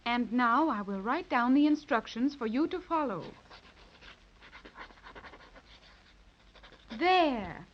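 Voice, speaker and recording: An actress playing a kindly, regal fairyland queen, gentle and careful in her words, in a 1930s radio drama. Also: a little high, lively intonation, normal speed, a little hiss or hum, close to an everyday voice. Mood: gracious. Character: gracious, kindly fairyland queen, grown woman. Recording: dull lo-fi 1930s radio recording.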